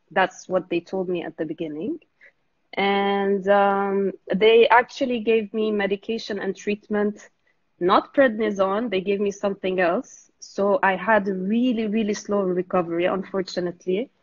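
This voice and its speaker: Woman on a video call, earnest and steady, dry muffled sound. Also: mid-range voice, dry recording. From Lebanon. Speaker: guest. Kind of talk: telling her story in an interview.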